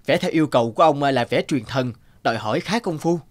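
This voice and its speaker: A man's voice: medium pitch, expressive, fast talker, lightly acted. A man voicing a proud, earnest painter in a cartoon, polite but firm. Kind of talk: animated moral tale dubbing.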